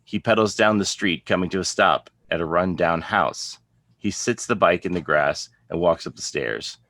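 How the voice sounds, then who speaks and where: A man's voice: deep voice, moderate pace, fairly bright tone, dry recording. A man narrating a script, reading out scene headings and stage directions as a narrator. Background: guitar music.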